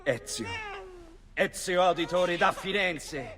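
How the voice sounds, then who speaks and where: A man's voice: sits high, measured pace, raised voice. A man with an Italian accent playing a proud, tender Renaissance father in a dramatic video game scene. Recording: long reverb with faint background noise.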